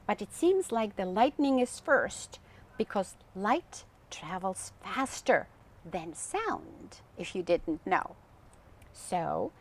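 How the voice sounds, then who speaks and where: A woman reading a story aloud to children in an expressive, deliberate, theatrical way. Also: fairly high pitch.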